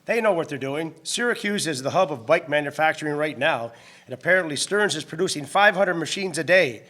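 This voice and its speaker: Radio drama supporting role: well-informed, agreeable 1890s store man, male actor, slightly high and bright, informative delivery. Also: animated, a little fast. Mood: impressed. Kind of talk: community radio drama acting in early live radio manner.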